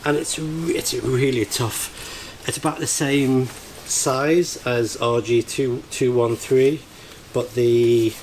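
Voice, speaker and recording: A man's voice: medium pitch, steady tone, slow, few pauses, darkish tone, rough recording. A man talking casually through a hands-on task, hesitantly thinking aloud as he works. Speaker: amateur radio operator vlogging. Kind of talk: casual hands-on vlog narration. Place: outdoors in the field and inside a barn. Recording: clear ambient background noise on location.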